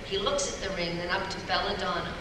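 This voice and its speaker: A woman's voice: lowish voice, fast. A woman reading scripted action directions at a live table read. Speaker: voice actor reading stage directions. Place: large convention hall, live on stage. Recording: echoey hall PA from far off, noisy.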